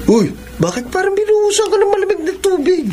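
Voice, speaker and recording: A man's voice: very high voice, sing-song, fast, over music. A man acting in a Filipino radio drama as a young hero's casual, chatty buddy. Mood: curious. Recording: clear background noise and ambience.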